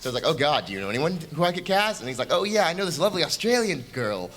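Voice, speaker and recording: A man's voice: on the high side, sing-song, very fast, fairly bright tone. A young man moderating a convention panel in a casual, jokey way. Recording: faint room noise.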